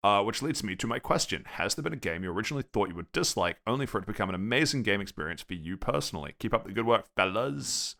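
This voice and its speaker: A man's voice: fairly low pitch, expressive, fast talker, vocal fry, hardly any pauses, pushed and bright. A man with a New Zealand accent hosting a casual gaming podcast, easygoing and reading listener questions aloud to his co-hosts. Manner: laid-back, reads listener questions aloud. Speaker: podcast host.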